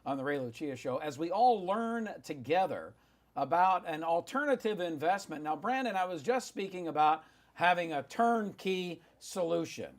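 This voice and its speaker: Semi-retired male radio host, high-ish voice, brisk and pushy with questions. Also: expressive. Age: older. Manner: brisk, pushes guest with time limits. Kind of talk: talk radio interview.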